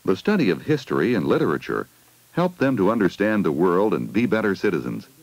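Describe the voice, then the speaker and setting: A man's voice: deep voice, sing-song, brisk, darkish tone, low-quality recording. A man reading a scripted educational film narration for children, putting simple questions to them, on a 1980 film soundtrack.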